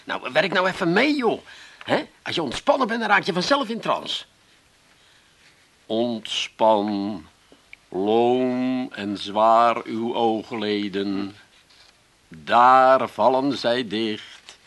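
An actor in a comic radio play, playing an excitable, self-important brother-in-law who fancies himself a hypnotist. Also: male voice, mid-range voice, takes it slowly. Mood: droning, soothing. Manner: theatrical, eager.

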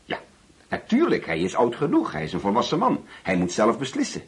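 A man's voice: medium pitch, wildly swooping pitch, a little fast, breathy edge, full character voice. An actor playing a middle-aged father in a Dutch radio play, hospitable but bitter, probing and repeating himself when agitated. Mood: aggrieved, agitated.

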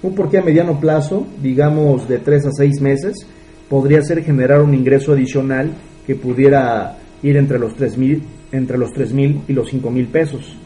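A man's voice: medium pitch, normal speed, warm tone, slight background noise, rough recording. A man in his thirties with a Mexican accent, giving an earnest motivational business talk and asking rhetorical questions. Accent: Mexican Spanish. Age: 30s. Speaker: business mentor.

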